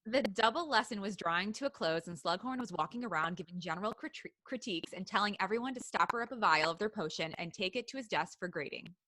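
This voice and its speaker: A woman co-host reads a fan story aloud on a live podcast, pausing for laughing asides and chatter with her co-hosts. Her voice is bright and a little tense, recorded dry and close with no room sound. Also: mid-range voice, lively intonation, dry recording, low-quality recording. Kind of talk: live podcast reading and casual chat.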